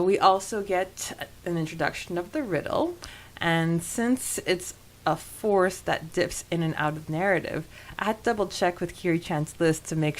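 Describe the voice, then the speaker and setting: A woman co-hosting a podcast in a casual, chatty and enthusiastic way about a book. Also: fairly low pitch. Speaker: podcast co-host.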